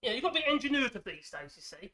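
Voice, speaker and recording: A man's voice: extremely high pitch, big pitch swings, fast talker, bright, forceful tone. A middle-aged man with a head cold, hosting a woodworking vlog in a rambling, chatty way with self-deprecating jokes, recorded in his home workshop on a mic hung from the ceiling. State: congested with a cold. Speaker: woodworking channel host. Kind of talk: casual workshop vlog chat. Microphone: microphone hung from a ceiling clamp.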